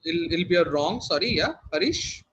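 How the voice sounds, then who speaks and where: A man's voice: a little high, animated, normal speed, a bit bright, highs cut off, slight background noise. A man working as a quizmaster, reading out questions and hosting in a conversational way.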